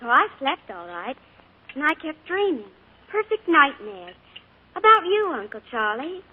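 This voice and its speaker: A woman's voice: high voice, sing-song. An actress playing an earnest young woman who is afraid of her uncle, in a 1940s radio drama. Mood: uneasy. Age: young adult. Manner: halting, anxious. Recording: tinny 1940s radio broadcast.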